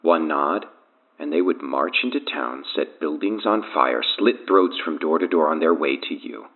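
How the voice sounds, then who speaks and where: A thin phone line carries an arrogant, menacing cult leader from an audio drama. His voice sits extremely low and is husky, and he speaks in a measured, grandiose, taunting way. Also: expressive, heavily acted. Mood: calm menace. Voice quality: deep, gravelly, husky.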